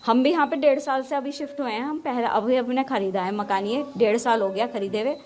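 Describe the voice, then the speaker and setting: High-voiced middle-aged woman, emphatic. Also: brisk, a little mellow, slight background noise. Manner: emphatic, repeats herself. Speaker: mother being interviewed. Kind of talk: emotional interview answers.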